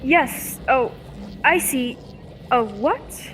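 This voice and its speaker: A woman's voice: high voice, lively intonation, very slow, fairly bright tone, full character voice. A young woman playing a curious, admiring assistant to a scientist in an old-time radio serial. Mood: eager. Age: teenager or young adult. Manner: inquisitive, eager. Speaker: student actor. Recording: faint background noise.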